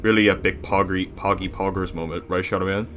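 Man playing a cartoon animatronic bear boss, low forceful voice, crude, bragging, reaction commentary. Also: steady tone, a little fast, a little hiss or hum. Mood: excited, casual. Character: bossy crude male animatronic bear, self-satisfied boss.

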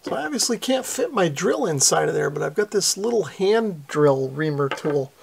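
A man's voice: a little high, big pitch swings, moderate pace, gravelly, slight background noise. A middle-aged American man hosting a hobby how-to, talking in an easygoing, self-deprecating, casual way. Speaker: host of a radio hobby channel.

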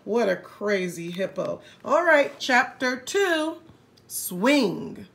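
Female read-aloud teacher for young kids, very slow and animated, chatting to listeners between pages. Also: a little high, gravelly. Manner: expressive, pauses to address young listeners. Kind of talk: read-aloud of a picture book with commentary.

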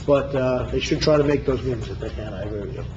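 A man's voice: medium pitch, quick pace. A man chairing a public meeting as mayor, talking in an informal, conversational, agreeable way. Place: indoor council chamber. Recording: muffled, clearly noisy meeting room.

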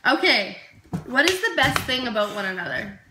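A young woman in her early twenties joins her sister's casual vlog, playful and quick to move things along. Her voice is high, and the room around her sounds echoey with clear background noise. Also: very animated. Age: early 20s. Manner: playful, keeps moving on with quick asides. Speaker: co-host sister. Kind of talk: casual chat. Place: indoor room, sitting side by side.

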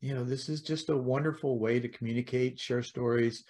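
A man's voice: medium pitch, fairly even intonation, a little fast, a little mellow. Middle-aged male podcast host on video call. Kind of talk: casual interview chat.

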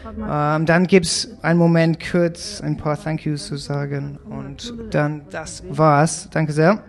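A man hosting a radio interview in halting German, with many fillers and slips. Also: fairly high pitch, flat delivery, gravelly. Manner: halting non-native German with fillers and English asides.

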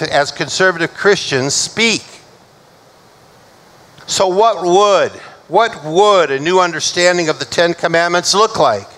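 A middle-aged male pastor delivering a measured, emphatic sermon from a church pulpit. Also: high voice, fairly bright tone, poor audio. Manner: measured, emphatic preaching, slowing for recited scripture.

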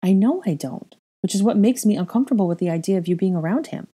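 A woman playing a protective, quick-tempered young mother in an audiobook, speaking earnestly and insistently. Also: medium pitch, lively intonation, warm tone, slightly rough. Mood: calm, serious. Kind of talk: acted audiobook dialogue.

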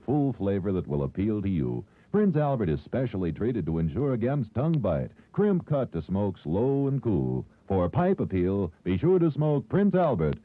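Low-pitched, animated male radio pitchman. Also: dry recording. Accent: American. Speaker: radio commercial announcer. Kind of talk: 1940s radio advertising read. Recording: tinny, dry 1940s radio recording.

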